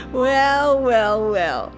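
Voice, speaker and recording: A woman's voice: high voice, full character voice. A woman playing the amused, teasing narrator of a radio serial. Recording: loud music under voice.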